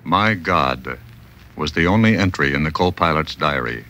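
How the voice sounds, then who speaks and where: A man's voice: rumbling low, expressive, normal speed, sharp tone. A man giving solemn scripted documentary narration on an old archival recording.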